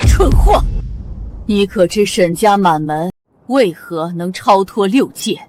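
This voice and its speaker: A woman's voice: medium pitch, expressive, takes it slowly. Wrathful divine queen mother, regal, commanding, scornful, faint hiss behind. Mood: furious. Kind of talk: costume drama dubbing.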